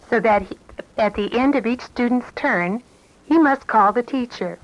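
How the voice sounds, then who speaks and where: A woman reading scripted instructional narration in a measured, explanatory manner on an old 1970s cassette tape. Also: medium pitch, muffled recording. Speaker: narrator of an educational program tape.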